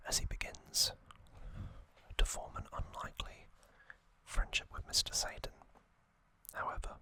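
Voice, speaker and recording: An ASMR host whispering slowly, rambling through trivia with fillers and musings. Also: bright, forceful tone. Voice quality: unvoiced whisper.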